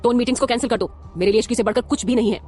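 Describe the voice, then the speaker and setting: A woman's voice: on the high side, very fast, darkish tone, faint music behind, voice pushed up, natural voice. A woman voicing a powerful young man who reassures and gives orders. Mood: commanding. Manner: reassuring, commanding.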